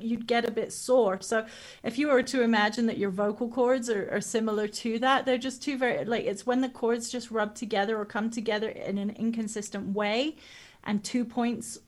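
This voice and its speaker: A woman's voice: on the high side, steady tone, normal speed. A woman vocal coach answering interview questions casually, explaining with everyday comparisons and demonstrating sounds.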